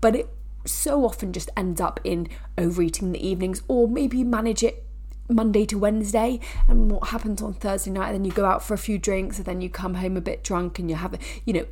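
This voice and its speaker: A woman's voice: medium pitch, darkish tone, breathy edge. A woman hosting a fitness podcast, speaking casually with a stuffy nose from a cold, chatty and prone to restarting her sentences. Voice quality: nasal. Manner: chatty, frequent restarts and asides. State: blocked sinuses, nasal from a cold. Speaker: podcast host. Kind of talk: casual educational podcast talk.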